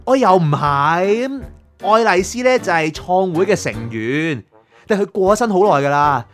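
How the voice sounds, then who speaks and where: A man's voice: sits high, animated, moderate pace, a bit bright, soft background music. A man with a Hong Kong Cantonese accent playing a curious, chatty young man in an audio drama. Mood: explaining. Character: curious, chatty young man probing a soul prosecutor's past.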